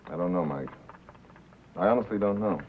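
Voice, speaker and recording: An actor playing a wry, guarded, quietly troubled radio reporter in a 1950s film drama, with dry, understated, clipped replies. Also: male voice, low-pitched, lively intonation, normal speed. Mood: troubled, subdued. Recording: tinny, noisy 1950s film soundtrack.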